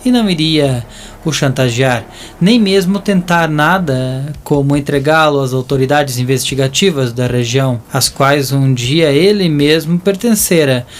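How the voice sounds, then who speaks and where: A man with a Brazilian accent narrating a scripted audio drama as a deliberate storyteller who addresses listeners. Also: medium pitch, a little hiss or hum. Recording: voice over a music bed.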